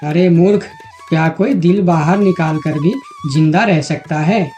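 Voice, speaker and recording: A man playing a clever, friendly monkey in a moral-story cartoon, speaking in an earnest, expressive way. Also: a little high, unhurried, over music, lightly acted. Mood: scolding. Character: clever, friendly male monkey, trusting yet shrewd.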